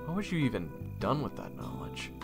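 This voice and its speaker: Man acting lively young fantasy soldier, low and creaky. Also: a little fast. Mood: earnest, curious. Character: Xander, curious, compassionate young male army private. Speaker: narrator and lead actor in an audio roleplay. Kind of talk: fantasy audio drama, first-person narration and dialogue. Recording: reverberant, with music underneath.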